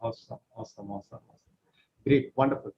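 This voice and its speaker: A man from India hosting an interview over a video call, enthusiastic and full of repeated praise, asking halting questions with fillers. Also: medium pitch, lively intonation, gravelly. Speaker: interview host and author coach. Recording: dull lo-fi call audio with faint noise.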